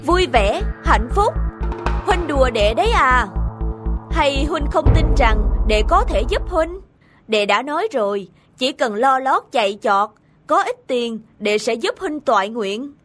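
A woman as a pushy, high-voiced vain official. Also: sing-song, a little slow, music in the background. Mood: incredulous, boastful. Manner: insistent, boastful. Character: vain, pushy middle-aged male official peddling favours. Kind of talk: cartoon folktale dialogue.

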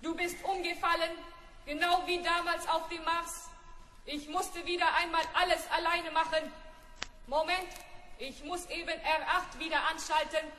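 A vain robot for a radio play, grumbling smugly in a high, bright, processed voice. It sounds scornful and pleased with itself, inside an echoing space. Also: steady tone, a little slow, exaggerated voice. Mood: self-satisfied. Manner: smug, griping, self-satisfied. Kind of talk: 1970s German children's radio play acting. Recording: echoing hall-like reverb.

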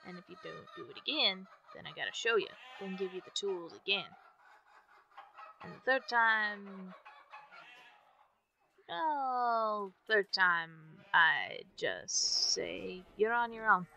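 A woman's voice: mid-range voice, animated, takes it slowly, bright, forceful tone, lo-fi sound, heavily acted. A woman playing an easygoing young ranch hand in an ASMR roleplay, chatting in a folksy way to a newcomer. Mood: easygoing, teasing. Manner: folksy, drawling phrasing with ain't and gotta. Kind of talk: ASMR roleplay, one-sided chat. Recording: background noise with farm animal ambience.

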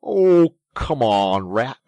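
A reader voicing a grumpy storybook mole, loud and swooping. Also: mid-range voice, very animated, drawn-out words, no echo, voice pushed up, exaggerated voice. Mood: impatient. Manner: pettish, complaining, emphatic. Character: grumpy, injured mole, plaintive and stubbornly literal-minded. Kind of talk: animated storybook character reading.

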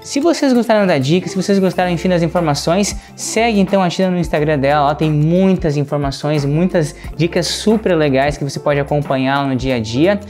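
A man's voice: a little high, a little fast, hardly any pauses, darkish tone. A man in his thirties hosting a home product video, explaining features fluently in a large modern kitchen. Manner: fluent, explanatory, many fillers like "enfim". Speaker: host. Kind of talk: presenting a product walkthrough. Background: faint music.

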